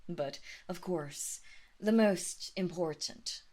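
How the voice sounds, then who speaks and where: Woman acting as aristocratic vampire mistress, very slow deliberate delivery, lowish voice, condescending, coolly menacing, whispery dips, audio drama. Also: mellow. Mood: cool, commanding. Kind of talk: scripted roleplay audio drama.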